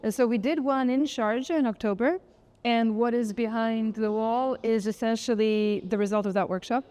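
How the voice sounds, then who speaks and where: A woman's voice: fairly high pitch, moderate pace, darkish tone. A woman speaking as an artist in an interview, explaining their work in an articulate, thoughtful way. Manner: articulate, thoughtful, frequent "kind of" hedging. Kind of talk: reflective interview explanation of an art project.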